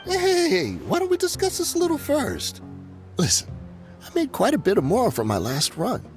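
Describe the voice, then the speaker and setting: An actor playing a nervous, wheedling ex-mercenary weapons smuggler in a video game dialogue. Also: male voice, extremely high pitch, wildly swooping pitch, moderate pace, over music, exaggerated voice.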